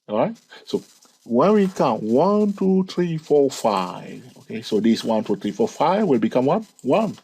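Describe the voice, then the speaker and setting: A man's voice: medium pitch, sing-song, takes it slowly, vocal fry, a little mellow, breathy edge. A man hosting his channel, walking through a chart lesson, stretching key words and repeating counts. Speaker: trading educator and channel host. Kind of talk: screen-recorded chart lesson.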